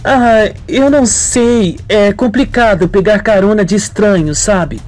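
A man's voice: high-pitched, unhurried, darkish tone, faint music behind, voice pushed up. A man voicing an innocent, timid young woman, polite and hesitant, in a radio soap opera.

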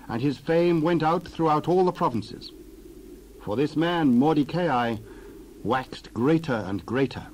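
A man narrating a dramatized scripture reading in a measured, formal delivery. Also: a little high, a little mellow, a little hiss or hum, rough recording.

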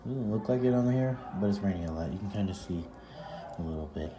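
A man in his thirties chatting casually to his phone camera at arm's length in his living room, relaxed and talkative. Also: sits low, quick pace. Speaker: vlogger. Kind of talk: casual home vlog. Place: living room at home, indoors. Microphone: phone held at arm's length. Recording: phone audio with clear background noise.

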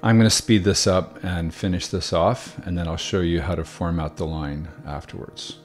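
A man calmly explaining software steps one by one in a screen-recorded tutorial, with the odd filler. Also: low voice, moderate pace, soft background music. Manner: relaxed step-by-step explaining with fillers. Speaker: video tutorial host.

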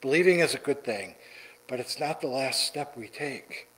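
A middle-aged man preaching a sermon from the pulpit in a church sanctuary, deliberate and repeating words for emphasis. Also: mid-range voice, animated, fairly bright tone, low-quality recording. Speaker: pastor. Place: church sanctuary, large indoor room.

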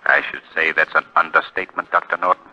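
An actor playing a menacing, commanding crime-fighter in a formal, imperious manner, in 1940s radio drama style. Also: male voice, very deep voice, fairly even intonation, fast talker, pushed and bright, breathy edge, exaggerated voice. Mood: stern, accusing. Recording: muffled vintage 1940 radio recording.

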